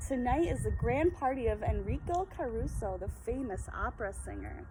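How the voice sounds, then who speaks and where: A woman's voice: very animated, normal speed. A woman reading the part of a stern, scolding father who works as a busy hotel chef in a storybook. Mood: bossy. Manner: commanding, scolding. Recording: very noisy, rumbling background.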